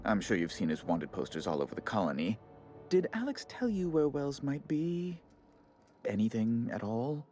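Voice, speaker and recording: A man's voice: medium pitch, big pitch swings, normal speed, a little mellow, faint music behind, close to an everyday voice. Man playing a melodramatic, fussy official, wildly swooping voice. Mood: pressing, earnest. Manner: theatrical, florid, fussily apologetic. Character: effusive, melodramatic male colonial official, grieving and flustered. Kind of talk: video game character acting.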